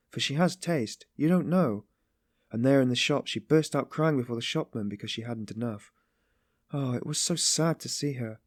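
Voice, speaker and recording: A man's voice: medium pitch, darkish tone, no room sound, studio quality, voice pushed up, close to an everyday voice. A man voicing an anguished, meek but passionately compassionate young woman, pleading in breathless exclamations and repetitions for an audiobook story.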